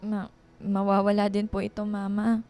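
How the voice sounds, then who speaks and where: An actress playing a dutiful young daughter who works as a nurse in a radio drama, earnest, respectful and insistent. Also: female voice, mid-range voice, monotone, normal speed, mellow, lightly acted. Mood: concerned, insistent. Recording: music bed under dialogue. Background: background music.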